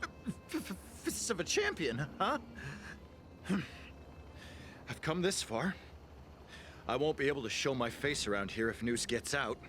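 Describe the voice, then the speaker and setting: A man's voice: mid-range voice, sing-song, measured pace, pauses often, a bit bright, slight background noise. An actor playing a nervous, cowardly young male thief in a video game, stammering and jittery. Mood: nervous bravado.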